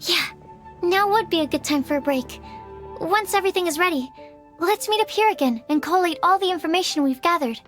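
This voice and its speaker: A woman playing a sweet, shy, diligent young apprentice in a video game, speaking gently and politely. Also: very high voice, lively intonation, fairly quick, soft background music. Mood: helpful. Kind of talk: video game character acting.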